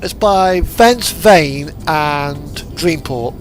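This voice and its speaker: A man with a fairly high voice talks casually as he plays a driving game for viewers. His delivery is easygoing and rambling, like relaxed livestream chat. Music plays softly behind him. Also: very slow. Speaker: livestreamer playing a truck driving simulator. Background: background music and game truck engine.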